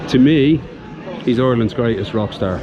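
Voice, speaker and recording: Dublin man, mid-pitched, chatty street vlogger; record outdoors with heavy crowd chatter around him. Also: normal speed, highs cut off. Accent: Irish, Dublin. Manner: chatty and enthusiastic, ends phrases with "yeah". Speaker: vlogger, tour guide. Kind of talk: casual walking tour vlog. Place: busy outdoor city shopping street.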